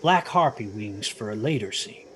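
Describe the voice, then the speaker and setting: A man's voice: fairly low pitch, animated, moderate pace, a bit bright, a little hiss or hum. An actor playing a protective, haunted father who tells his children a ghost story in a hesitant, earnest way, in an audio drama. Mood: regretful. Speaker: storyteller narrator.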